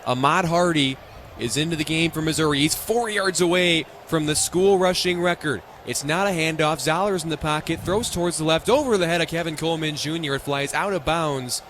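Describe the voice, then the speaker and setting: High-voiced American football play-by-play man. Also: fairly quick. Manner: excitable, punchy clipped phrasing. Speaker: radio play-by-play announcer. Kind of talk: live football play-by-play commentary. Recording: live stadium ambience noise.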